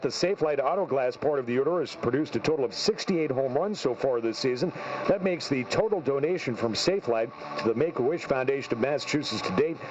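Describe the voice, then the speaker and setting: A male sports radio host delivers a post-game recap and sponsor reads in a mid-range voice. The broadcast sound is dull, with clearly audible noise. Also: mid-range voice. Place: radio studio.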